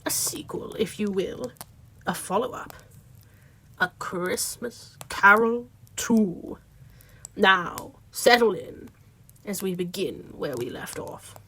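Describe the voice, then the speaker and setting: Slow, dramatic woman as storybook narrator. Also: mid-range voice, lively intonation, fairly bright tone, husky, exaggerated voice, poor audio. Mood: grand, intimate. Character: storyteller narrator posing as Charles Dickens, droll and grand.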